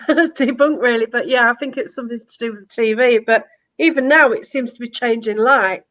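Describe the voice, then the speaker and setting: Casting: high-pitched woman host, giggly and friendly, dull lo-fi call audio. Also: fairly quick, fairly bright tone. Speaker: chat host. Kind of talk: casual live chat.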